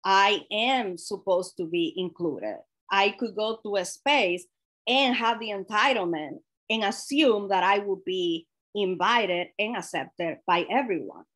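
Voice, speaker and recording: A young woman speaks openly and personally as a workshop presenter. Her voice sits at an ordinary middle pitch, and she talks in a conversational way, restarting phrases and correcting herself as she goes. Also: a little slow, a bit bright. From Dominican Republic. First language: Spanish. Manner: frank and personal, with self-corrections and light laughs. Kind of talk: candid conversational workshop talk.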